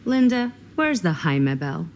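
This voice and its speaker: An actress playing a worn-down young American big sister in a video game drama, talking in a wry, sardonic way. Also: female voice, lowish voice, very animated, measured pace, a little mellow, a little hiss or hum. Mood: teasing, wry. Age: young adult.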